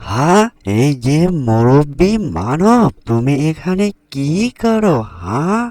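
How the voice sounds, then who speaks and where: A man's voice: fairly high pitch, sing-song, takes it slowly, darkish tone, no echo. A man voicing a protective father bird in a cartoon, doting yet scolding, with emphatic phrasing. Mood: angry, scolding. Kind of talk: Bengali cartoon voice acting.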